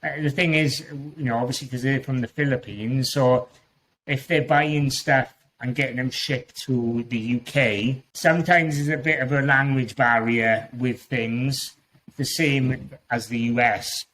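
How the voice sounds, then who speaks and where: A man from Wales speaks with a strong Welsh accent at an ordinary middle pitch. He is a podcast guest, talking in a loose, chatty way that rambles and often restarts its sentences. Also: fairly even intonation, a little slow, a bit bright, rough recording. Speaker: guest Amazon seller. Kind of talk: casual podcast interview.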